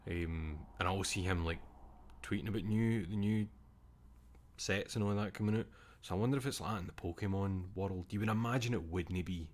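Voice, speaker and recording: Deep-voiced Scottish man chatting casually. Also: a little fast. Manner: rambling, swears casually, reads chat aloud. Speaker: streamer. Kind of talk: casual stream chat.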